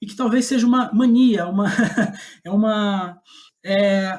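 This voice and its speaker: Thoughtful, halting Brazilian man in conversation. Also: high voice, a little mellow. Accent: Brazilian Portuguese. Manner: halting, thoughtful, searching for words. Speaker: guest playwright. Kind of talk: reflective podcast interview talk.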